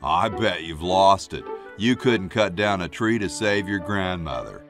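An actor playing a laid-back, casual young roadie dude in a cartoon game. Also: male voice, low-pitched, lively intonation, a little slow. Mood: goading. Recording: music behind the voice.

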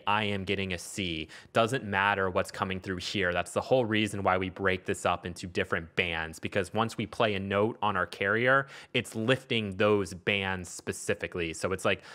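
A man narrating a casual software tutorial as a music production tutor, explaining step by step and often checking in with the listener. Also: low voice. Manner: explanatory, checks in with "okay" and "all right".

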